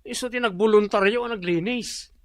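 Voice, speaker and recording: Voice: mid-range voice, brisk, dry recording, natural voice. Person playing lazy tv-watching guy, whiny and defensive, dry close mic. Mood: sulky. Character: lazy unemployed man who watches TV all day. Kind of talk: radio drama acting.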